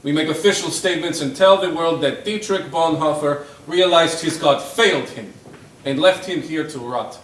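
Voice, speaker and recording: A man's voice: on the high side, moderate pace, a bit bright, close to an everyday voice. A man acting a scheming, cynical Italian fascist in a stage play, arguing in a persuasive, theatrical way. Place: indoor theatre stage, recorded from a distance. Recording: echoey stage, distant mic.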